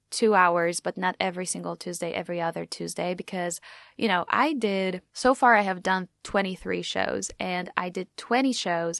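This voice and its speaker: Chatty young woman, gravelly low voice. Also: a bit bright, no echo, clean studio sound. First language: Polish. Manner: chatty, lots of "like" and restarts. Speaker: radio show host. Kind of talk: personal storytelling vlog.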